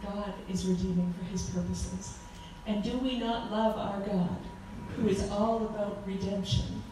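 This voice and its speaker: A woman in her forties with a loud voice, giving a fervent talk as a guest speaker at a church, with frequent ums. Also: medium pitch, steady tone, measured pace, background noise. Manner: earnest, fervent, with many ums. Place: church hall. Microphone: handheld microphone. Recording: echoey hall from far off.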